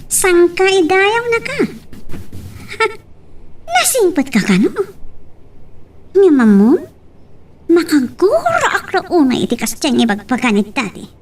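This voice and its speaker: Spoiled young heiress, played by a woman: piercingly high, wildly animated, pushy, gushing radio-drama delivery, distant mic. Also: a little slow, pauses often, a little hiss or hum, full character voice. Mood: jealous, whiny. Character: spoiled, pushy rich businessman's young daughter. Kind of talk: Ilocano radio-style drama acting.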